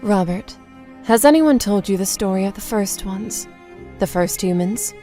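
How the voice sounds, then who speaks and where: A low-voiced female mystic played by an actress in an audio comic book drama, serene and grave. She explains things in a measured, solemn way, with music underneath. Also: expressive, dark tone, close to an everyday voice. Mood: calm explaining. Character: serene mystical woman guru from another realm, gravely explaining.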